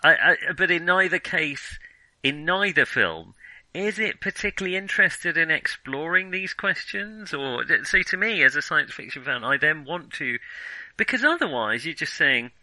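Male film podcast host, very bright sharp voice, dry close sound, opinionated and halting in casual discussion. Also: a little high, lively intonation, dry recording, poor audio. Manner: hesitant, self-interrupting, opinionated. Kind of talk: casual film criticism chat.

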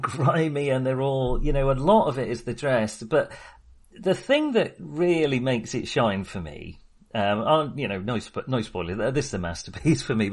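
A man's voice: mid-range voice, breathy edge. A man talking casually as a podcast host discussing films, enthusiastic but hesitant and rambling as he goes.

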